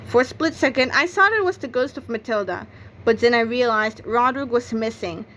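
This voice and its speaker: Female narrator for a children's comic novel read-aloud, voice a little high, recorded with some background noise. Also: a little fast, a bit bright, rough recording. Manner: acts out sound effects and exclamations. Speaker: book narrator.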